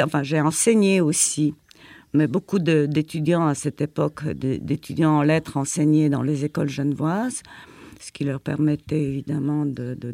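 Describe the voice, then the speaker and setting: Older woman, non-native French, former journalist looking back; gravelly, halting delivery. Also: sits low, darkish tone, no room sound. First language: not French. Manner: hesitant, many fillers and repeated words. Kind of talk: reflective interview answers.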